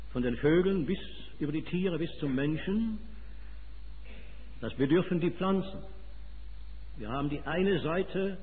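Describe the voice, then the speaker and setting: A man from Switzerland with a Swiss German accent, preaching a sermon with emphatic, rising and falling delivery. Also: a little high. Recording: phone-like, reverberant hall.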